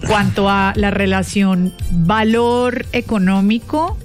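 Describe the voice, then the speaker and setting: A female co-host speaks in a medium-pitched voice. She gives her opinions casually, as in easy studio chat. Light music plays behind her. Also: slow. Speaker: radio co-host. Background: background music.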